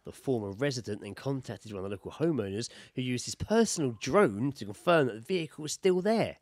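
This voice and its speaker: A man's voice: mid-range voice, expressive, fairly quick, a little mellow, dry recording. A man co-hosting a podcast, chatting casually and reading out odd news stories in an informal, sweary way. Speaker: podcast co-host.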